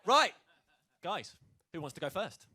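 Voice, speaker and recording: A man with a high, bright voice hosts a live launch show indoors. He talks upbeat and chatty, rallying the crowd to gather round and join in. His tone stays sharp and forward. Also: very animated, a little slow, slightly rough. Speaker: host. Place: indoor event space with live audience.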